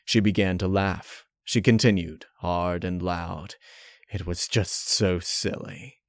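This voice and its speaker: A man reading a dark fan fiction story as narrator, in a measured, dramatic way. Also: low-pitched, steady tone, no room sound, studio quality. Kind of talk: dark audiobook-style narration.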